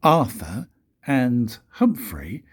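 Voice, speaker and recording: An older man, a grandfather, reading a children's story aloud in a husky, breathy voice with expressive, dramatic pauses. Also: medium pitch. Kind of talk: storybook read-aloud narration.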